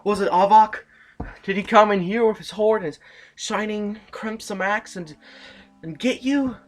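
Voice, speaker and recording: A woman's voice: mid-range voice, a little slow, close to an everyday voice. A woman playing an earnest, urgent and emotional young leader in an audio drama. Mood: distressed. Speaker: voice actor.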